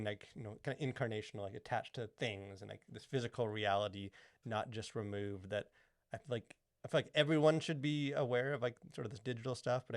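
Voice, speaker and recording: Low-voiced man, rambling casual podcast talk. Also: dry recording, studio quality. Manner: rambling, peppered with "like" and "you know". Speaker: podcast co-host. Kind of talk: casual discussion of culture and faith.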